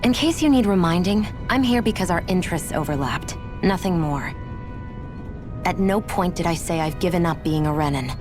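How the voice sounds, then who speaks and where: A woman's voice: on the low side, expressive, moderate pace, over music, lightly acted. An actress playing an aloof, proud young noblewoman in a video game, cool and blunt, with curt, formal phrasing. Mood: cold.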